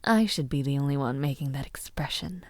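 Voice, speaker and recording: A woman playing a haughty, mocking young villainess princess in an audio roleplay, condescending and teasing, often dropping to a breathy murmur. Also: very deep voice, animated, a little fast, dry recording. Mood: scornful. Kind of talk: audio roleplay acting, addressing the listener.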